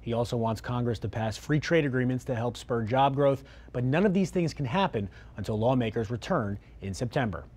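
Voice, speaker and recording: Male news anchor, American accent, mid-range pitch, delivering scripted bulletins. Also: a little fast, a little mellow, a little hiss or hum.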